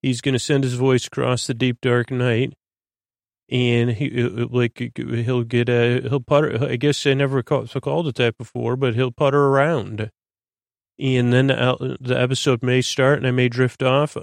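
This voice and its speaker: Creaky-voiced man, meandering and lulling. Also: medium pitch, no echo, studio quality. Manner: halting, stammering, repeats and plays with words. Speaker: podcast host. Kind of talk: rambling bedtime monologue to help listeners sleep.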